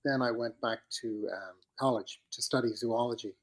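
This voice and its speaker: A man in his fifties with an Irish accent, talking in a relaxed, unhurried way as a podcast guest. He is reflective, often pausing and restarting his sentences as he recalls his memories. His voice sits at an average pitch for a man. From Ireland. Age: 50s. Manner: reflective, with frequent restarts and pauses. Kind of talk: relaxed conversational storytelling.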